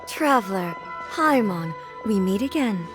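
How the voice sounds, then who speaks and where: A woman's voice: mid-range voice, expressive, natural voice. A woman voicing a regal, earnest female deity in an anime game, formal and composed, over music. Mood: gracious, composed. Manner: formal, composed, measured phrasing.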